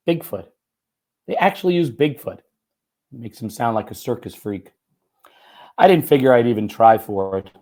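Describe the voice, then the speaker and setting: A slightly hoarse man reading a first-person short story aloud in an expressive, conversational, folksy way. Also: mid-range voice. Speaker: writer and teacher reading own short story.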